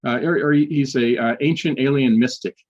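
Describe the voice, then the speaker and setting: An older man, a history professor, telling personal stories in a casual interview, often restarting sentences and filling pauses. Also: mid-range voice, a little mellow, muffled recording. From United States. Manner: halting, many restarts and fillers.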